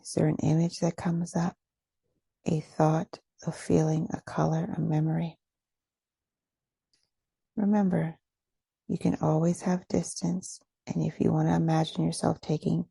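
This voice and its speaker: A woman leading a guided meditation in a soft, breathy voice, soothing and unhurried, pausing between short phrases. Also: sits low, dry recording. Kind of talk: guided meditation, gentle scripted instruction.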